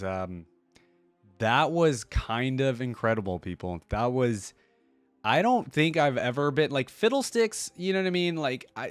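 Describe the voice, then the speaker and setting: A man's voice: medium pitch, dry recording. A man giving casual, unscripted reaction commentary as a video host, rambling and emphatic as he addresses his viewers.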